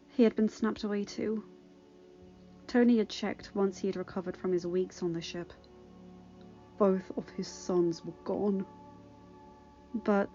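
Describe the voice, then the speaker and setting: A woman reading a story aloud as a podfic narrator, dramatic and with emphatic pauses. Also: mid-range voice, warm tone, dull audio.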